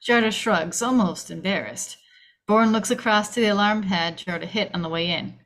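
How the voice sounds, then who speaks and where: A woman narrates the action of a screenplay. Her voice is of average female pitch. She reads stage directions dramatically, in short, punchy, clipped phrases. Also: normal speed, a bit bright.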